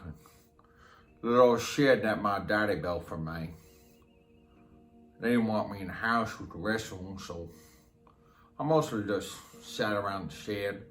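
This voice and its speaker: An actor playing a simple, slow, matter-of-fact rural Southern man who confesses in a halting, plain-spoken, repetitive drawl, as a dramatic film monologue. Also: lowish voice, a bit bright. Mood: flat, resigned. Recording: roomy echo, music underneath.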